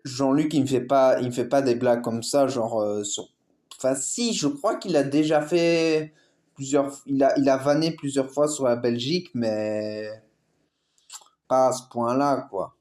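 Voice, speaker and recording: A man chatting through a reaction video, mid-pitched and rambling. He talks loosely and keeps stopping to explain. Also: a little slow, darkish tone. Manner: rambling, lots of fillers. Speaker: YouTube reaction host. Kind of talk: casual reaction commentary.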